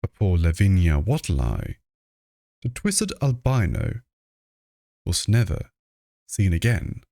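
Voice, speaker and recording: A man reading classic horror fiction aloud as scripted narration, in a measured, deliberate manner, close to the microphone. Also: low voice, very animated, gravelly, warm tone, no room sound. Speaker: audiobook reader.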